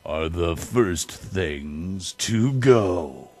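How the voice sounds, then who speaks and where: A man's voice: low voice, expressive, very slow, a little mellow, no room sound, voice pushed down, exaggerated voice. A man voicing a sly, greedy old storybook creature who sneers with wicked glee and draws out his words menacingly. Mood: gloating.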